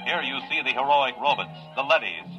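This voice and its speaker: A man's voice: mid-range voice, lively intonation, a little fast, natural voice. Male actor for grave American wartime newsreel announcer: mid-range, declamatory, indignant, vintage radio sound with music behind. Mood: solemn indignation. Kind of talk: 1950s radio newsreel narration. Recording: dull old radio recording under music.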